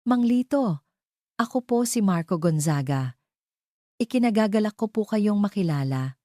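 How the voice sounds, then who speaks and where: A woman's voice: mid-range voice, lively intonation, moderate pace, warm tone, no room sound, studio quality, pitched above the performer's own voice, lightly acted. A woman voicing an earnest, pleading male CEO in love, in a romance audio story. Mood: respectful. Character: earnest, lovestruck young male CEO suitor.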